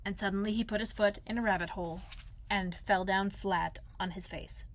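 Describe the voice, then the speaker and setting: A woman who works as a school librarian, reading a children's storybook aloud with expressive narration. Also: mid-range voice, moderate pace. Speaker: elementary school librarian, read-aloud host.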